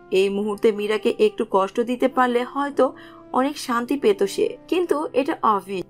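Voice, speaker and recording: Higher-voiced woman narrating a romance. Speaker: story narrator. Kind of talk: scripted narration of a romantic story.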